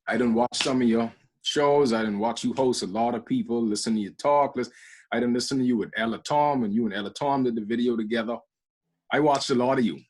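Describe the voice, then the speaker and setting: A man with a Bahamian accent chats easily, laughing often. His voice is husky at mid pitch, and his phrasing is loose and informal. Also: a little fast, darkish tone. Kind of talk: casual video call chat.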